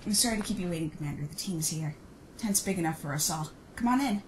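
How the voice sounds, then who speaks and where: A woman's voice: fairly low pitch, lively intonation, quick pace, background noise, lightly acted. A woman playing a composed, confident agent who leads her team in a businesslike manner in an audio drama. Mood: composed, formal. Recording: music under the voice.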